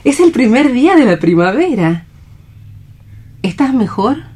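A woman's voice: a little high, big pitch swings, unhurried, dark tone, breathy edge, exaggerated voice. An actress playing a devoted, earnest young woman with a lyrical, emotive delivery in a radio drama. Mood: reverent. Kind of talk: radio theatre dramatic reading.

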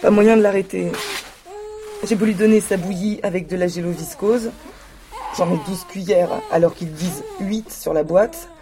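A woman's voice: fairly low pitch, steady tone, normal speed, close to an everyday voice. An actress playing an exhausted young mother who complains wearily to her doctor, in a radio drama. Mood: weary. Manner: weary, complaining. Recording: noise behind the voice.

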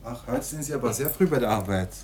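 An actor playing a polite, chatty Tunisian hotel servant in a radio play, with a deferential manner. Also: male voice, medium pitch, animated, fast talker, darkish tone, a little hiss or hum, lightly acted. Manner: deferential, chatty, sprinkles French words.

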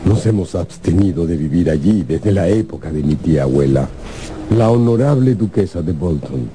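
An actor playing a grave, formal English aristocrat in a radio drama, warning solemnly and dramatically. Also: male voice, low-pitched, lively intonation, moderate pace, dark tone, slight background noise. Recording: music behind the voice. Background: music.

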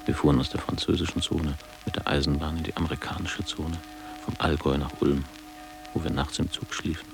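An actor playing a reflective ageing former boarding-school pupil, narrating his memories in a measured, literary way for a radio drama. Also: little pitch movement, over music. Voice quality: deep, gravelly.

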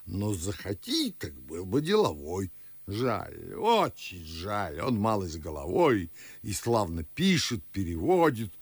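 Husky, pompous old Moscow nobleman, swooping pitch. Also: very animated, takes it slowly, no room sound, full character voice. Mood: admonishing. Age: older. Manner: declamatory, theatrical, old-fashioned. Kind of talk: radio play acting in verse.

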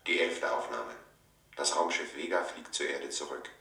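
An actor playing an impersonal announcer of recorded reports, in formal radio play style. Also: male voice, rumbling low, moderate pace, bright, forceful tone. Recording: echoey, distant from the microphone.

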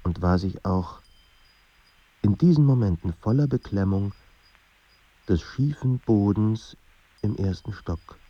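A man narrating a melancholy literary radio drama in a measured, sombre manner. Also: fairly low pitch, warm tone, lo-fi sound.

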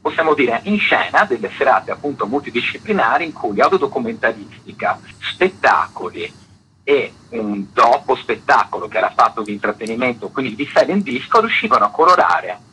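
A man's voice: mid-range voice, very animated, a little fast, sharp tone, a little hiss or hum, low-quality recording. A man speaking as a festival director, explaining things as a guest in a radio interview.

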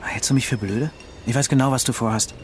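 A man's voice: medium pitch, steady tone, brisk, a little hiss or hum, lightly acted. An actor playing a wary, exasperated policeman in a radio drama, agitated and sarcastic in his delivery. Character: wary, exasperated policeman, anxious blunt partner.

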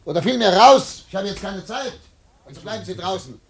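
An actor playing a stern, irritable investigator with an imperious, commanding manner in a German radio play. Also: male voice, high voice, fairly quick, gravelly, husky, full character voice. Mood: irritated, agitated. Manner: imperious, barking orders, clipped commands. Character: stern, irritable male investigator interrogating war crime suspects. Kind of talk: German radio play acting, partly narrating.

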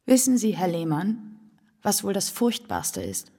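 A desperate, hungry woman in a radio play. Her voice is breathy and mid-pitched, with pity in it. It rings in a long reverb. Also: huge pitch swings, a little slow, warm tone. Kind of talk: radio drama acting.

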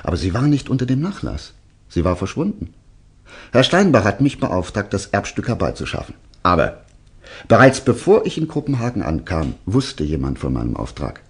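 Male detective, radio drama, animated delivery, slightly rough husky voice, confident, teasing, suspense-building. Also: fairly low pitch, moderate pace, full character voice. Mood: theatrical, teasing. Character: male detective, self-assured, theatrically revealing a case to suspects. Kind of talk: German radio drama acting.

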